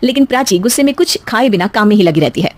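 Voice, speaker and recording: A woman narrating a scripted cartoon story in a steady, lively storytelling manner. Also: mid-range voice, fast, no echo.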